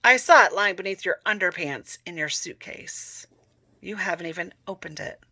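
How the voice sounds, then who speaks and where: A woman's voice: on the low side, expressive, moderate pace, pushed and bright, heavily acted. A woman voicing a prim, know-it-all little sister in a read-aloud story. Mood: tattling.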